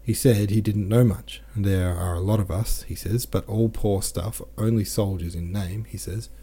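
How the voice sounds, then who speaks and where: A man reading the lines of a bold, cheeky male peasant scout, in a hurried, brash way. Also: lowish voice, fairly even intonation, warm tone, slightly rough. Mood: cocky.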